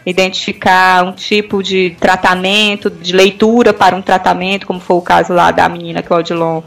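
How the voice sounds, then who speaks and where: A woman's voice: fairly low pitch, little pitch movement, a little fast, creaky, recorded from a distance. A Brazilian woman in a casual podcast discussion, explaining things step by step and often checking in with a tag question. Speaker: podcast panelist.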